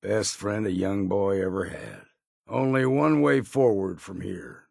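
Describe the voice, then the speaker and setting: Very gravelly old backwoods hunter. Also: male voice, low-pitched, a little slow, noisy background, exaggerated voice. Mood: folksy, plainspoken. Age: older. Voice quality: gravelly, raspy. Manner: folksy, dropped endings, laconic. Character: gruff old hard-drinking male hunter and fog guide. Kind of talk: video game character acting.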